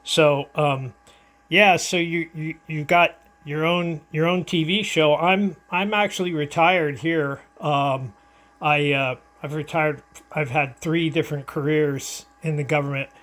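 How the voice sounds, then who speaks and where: A man's voice: on the high side, steady tone, unhurried, a bit bright. An older American man chatting casually over ham radio in an easygoing, rambling, hesitant way with callsigns and handovers. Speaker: amateur radio operator. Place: indoors at his radio, filming it.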